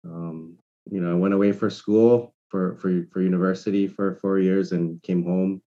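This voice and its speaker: A man, speaking as a guest in a measured, reflective way over a remote call. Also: sits low, steady tone, warm tone, highs cut off. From Hawaii. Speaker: guest entrepreneur. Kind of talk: casual conversation.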